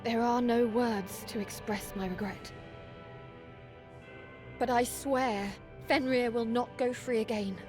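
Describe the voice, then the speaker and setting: Solemn, high-voiced Valkyrie. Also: female voice, steady tone, music in the background. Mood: solemn, contrite. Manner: formal, solemn, measured. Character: dutiful young warrior maiden, a Valkyrie, earnest and composed. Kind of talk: video game cutscene acting.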